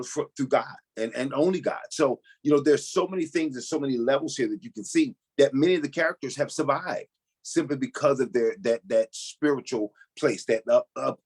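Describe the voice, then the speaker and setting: A man with a husky, rough-edged voice at an average pitch gives conversational interview answers. He is earnest and emphatic, restating his point as he builds it. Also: darkish tone. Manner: earnest, emphatic, leans on "you know". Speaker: pastor and cast member, interview guest.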